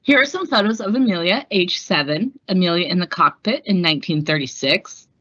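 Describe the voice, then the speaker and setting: Bright, animated woman reading a children's storybook. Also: lowish voice, fairly quick, breathy edge, dry recording, dull audio. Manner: playful, exclamatory, chatty. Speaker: read-aloud host. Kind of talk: expressive children's storybook read-aloud with chatty asides.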